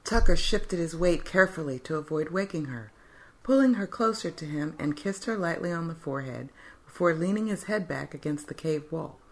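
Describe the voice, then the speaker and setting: A woman narrates a fiction story in a scripted, dramatic style. Her voice is notably low for a woman. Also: expressive, normal speed, rough recording.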